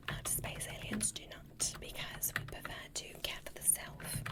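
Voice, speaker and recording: A woman voicing a gentle, curious alien doctor in a breathy, soothing whisper for an ASMR medical roleplay. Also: medium pitch, lightly acted. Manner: soothing, softly instructing.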